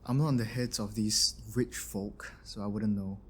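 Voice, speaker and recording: A casual, grumbling but kind-hearted male engineer, acted by a man for an audio drama. His voice is on the low side, with slight noise in the recording. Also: steady tone, moderate pace, a bit bright, lightly acted. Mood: matter-of-fact. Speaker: voice actor in an audio roleplay. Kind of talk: scripted audio drama acting.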